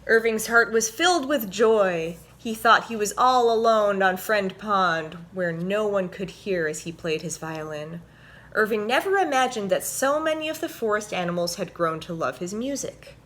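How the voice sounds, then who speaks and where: A woman hosting a story time for children, reading and explaining things simply with expressive, deliberate phrasing. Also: medium pitch. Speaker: host and story reader, orchestra violinist.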